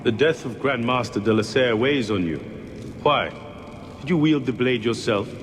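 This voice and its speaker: A man's voice: mid-range voice, normal speed, lightly acted. A man playing a stern, probing member of a secret order's council in a video game. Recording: reverberant hall, faint noise.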